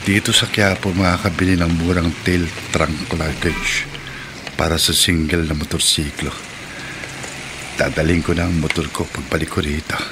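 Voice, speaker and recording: A man playing an excitable, loyal middle-aged friend in Filipino radio drama, urgent and repetitive as he speaks. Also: low-pitched, close to an everyday voice. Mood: musing, then shocked. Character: excitable, loyal middle-aged male buddy bearing news. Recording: radio drama with background noise.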